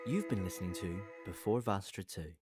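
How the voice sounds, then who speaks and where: A man's voice: deep voice, fairly quick, loud music behind the voice. A man announcing the credits of an audio drama in a scripted read.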